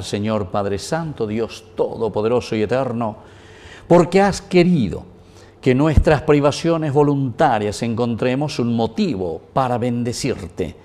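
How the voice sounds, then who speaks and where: Middle-aged male priest, solemn measured liturgical reading, lapel mic in a small chapel. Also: mid-range voice, a little mellow. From Paraguay. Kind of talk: liturgical prayer at Mass.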